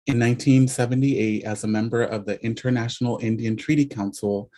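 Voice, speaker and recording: A man's voice: on the low side, mellow. Man formally reading a speaker's credentials. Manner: formal listing of credentials, occasional filler. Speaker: introducer of the speaker.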